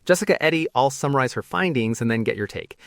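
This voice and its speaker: A male podcast host with a medium-pitched voice summarizes research in an orderly way. His intonation is lively and the recording has a dry, studio feel. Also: fast talker, dry recording.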